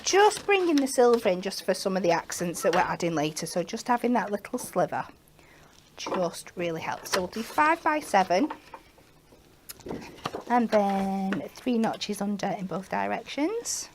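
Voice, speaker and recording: A woman with a croaky voice, chatting and joking as she demonstrates card making on a craft shopping show. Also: medium pitch, big pitch swings, normal speed, noisy background. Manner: chatty, playful asides while working. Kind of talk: live shopping channel craft demo.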